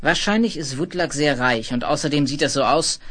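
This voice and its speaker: A man's voice: on the high side, brisk, fairly bright tone. An actor playing a sensation-hungry male news boss, emphatic and theatrical, in a 1970s German radio play. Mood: insistent.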